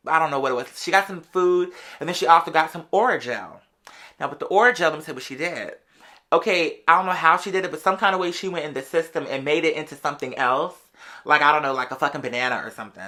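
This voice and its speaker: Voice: mid-range voice, sharp tone. A person in their late twenties with an American accent, telling gossipy stories in a casual, sassy, slang-heavy way. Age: late 20s. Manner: conversational and sassy, heavy slang, often interjecting "girl".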